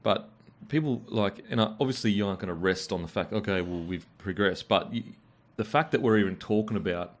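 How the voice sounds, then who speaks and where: A man's voice: lowish voice, fairly quick, few pauses. A man with an Australian accent hosting a casual interview in a blokey, slangy way with plenty of swearing. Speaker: podcast host.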